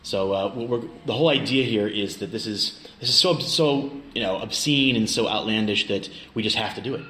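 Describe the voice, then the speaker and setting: A man's voice: fairly low pitch, moderate pace, hardly any pauses, bright, forceful tone. A middle-aged American man from Kansas chatting casually and jokily as an interview guest. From Kansas, United States. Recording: roomy, clearly noisy location recording.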